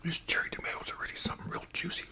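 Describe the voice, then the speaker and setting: A man with a Canadian accent speaks in a breathy whisper, chatting casually and unhurriedly in ASMR style as host. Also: very fast. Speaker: ASMR video creator and host.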